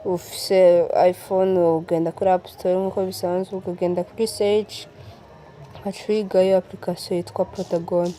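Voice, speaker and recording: A woman's voice: on the low side, level tone, normal speed, mellow, a little hiss or hum. A female speaker with a Burundian accent explaining an app step by step, repeating words as she goes. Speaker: tutorial presenter.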